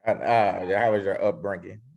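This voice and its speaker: A man hosting an interview, opening with a boastful, hyped self-introduction before asking relaxed questions. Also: deep voice, normal speed, gravelly, muffled recording. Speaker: host.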